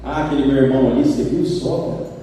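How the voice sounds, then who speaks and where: A man with a Brazilian accent preaching a sermon, telling stories with lively acted-out quotes. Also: mid-range voice, fast talker, recorded from a distance, slight background noise. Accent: Brazilian Portuguese. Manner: animated storytelling, acts out quoted voices. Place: church sanctuary. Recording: roomy church hall from afar.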